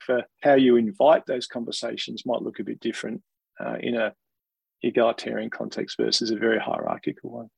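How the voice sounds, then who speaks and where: Gravelly, husky Australian man. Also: medium pitch, dry recording, poor audio. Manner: thoughtful, measured, with small restarts. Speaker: interview guest, organisational leader. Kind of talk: reflective interview answers.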